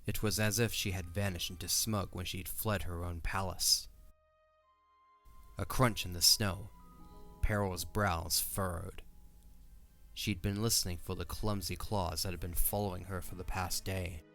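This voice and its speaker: A man's voice: sits low, steady tone, a little slow, fairly bright tone. A man giving scripted third-person narration for a fantasy audio drama. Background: faint music.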